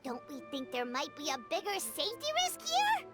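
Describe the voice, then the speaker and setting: A woman voicing a tiny, childlike, excitable fairy companion in a video game, bubbly and blunt. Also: high-pitched, moderate pace, loud music behind the voice. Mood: worried, insistent. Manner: bubbly, blunt, refers to herself by name.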